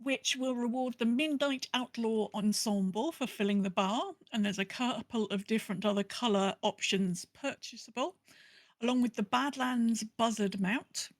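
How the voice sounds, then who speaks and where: A woman's voice: a little high, normal speed, no room sound. A woman co-hosting a podcast, giving a casual rundown of gaming news in a conversational, thinking-aloud way. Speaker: podcast co-host.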